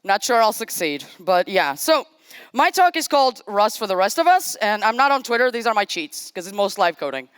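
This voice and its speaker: A woman's voice: mid-range voice, sing-song, fairly quick, fairly bright tone, smooth, no echo. A young woman from Portugal, giving a casual, self-deprecating live-coding talk on a conference stage. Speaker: conference speaker.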